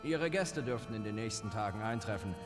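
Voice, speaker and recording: A man's voice: on the low side, expressive, fairly quick, natural voice. A man playing a self-satisfied, efficient Nazi officer in a video game, formal and assured. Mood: smugly pleased. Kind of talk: dramatic game cutscene dialogue. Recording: long hall reverb, prominent music.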